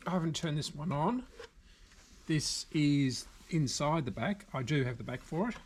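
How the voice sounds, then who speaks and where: An Australian man giving casual, unscripted hands-on repair commentary, halting now and then as he thinks aloud. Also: a little high, expressive, darkish tone, a little hiss or hum. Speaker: video host, radio repairer. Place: indoor workshop.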